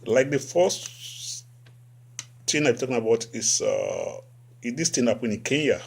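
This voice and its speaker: A man's voice: a little slow, gravelly, husky. A Nigerian man hosting a satirical talk show, commenting with sarcasm and repeating phrases for effect. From West Africa. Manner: sarcastic, repeats phrases, animated swings up in pitch. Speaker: host.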